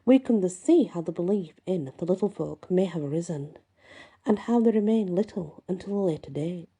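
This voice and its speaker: A woman host reading folklore aloud and musing on it, with deliberate, emphatic phrasing. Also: on the low side, expressive, warm tone, husky. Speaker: host and reader. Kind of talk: reading a folklore book aloud with casual asides.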